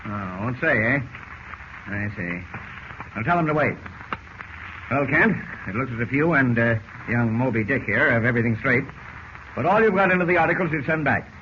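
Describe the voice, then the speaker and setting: An actor playing a gruff, blustering newspaper chief with a soft heart, in old radio drama style. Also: male voice, mid-range voice, lively intonation, moderate pace, pauses often, natural voice. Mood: gruffly fond. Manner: blustering, stammering, brusque. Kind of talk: 1940s radio drama acting. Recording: muffled, noisy old radio recording.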